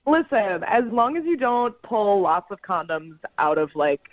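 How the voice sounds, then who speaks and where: A woman's voice: mid-range voice, big pitch swings, unhurried. Casting: woman as phone-in guest, casual, very varied intonation, dulled line. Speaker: radio show caller. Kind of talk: casual chat. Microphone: telephone. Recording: slightly dull phone call audio.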